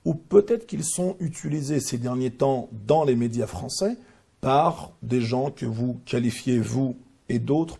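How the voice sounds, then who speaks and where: A man's voice: medium pitch, creaky, mellow, slightly rough. A man interviewing a guest, pressing him with insistent, probing questions.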